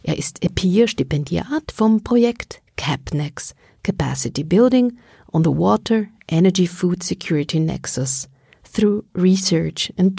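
A woman hosting a live radio show from the studio, announcing in a measured, formal way. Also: deep voice, vocal fry, dark tone, husky, no room sound. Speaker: radio host. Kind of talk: radio moderation. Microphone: studio microphone.

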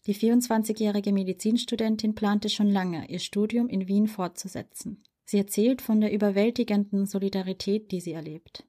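Slightly breathy woman radio host. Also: mid-range voice, fairly even intonation, warm tone. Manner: clear, measured delivery. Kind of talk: scripted radio narration and interview.

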